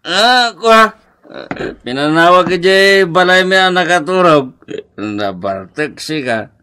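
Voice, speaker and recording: Gossipy filipino pal, slow drawl, kinda high for a guy, radio comedy. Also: animated, bright, forceful tone, smooth, heavily acted. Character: jovial, gossipy Filipino drinking buddy. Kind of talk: radio comedy drama acting.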